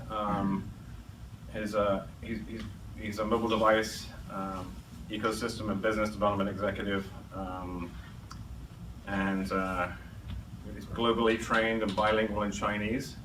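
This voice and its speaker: A man acting as host, introducing a guest speaker in a halting manner full of ums and uhs. His voice is low and flat, and it is caught from far off in an echoey room with clear background noise. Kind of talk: hesitant spoken introduction. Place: conference room in an office.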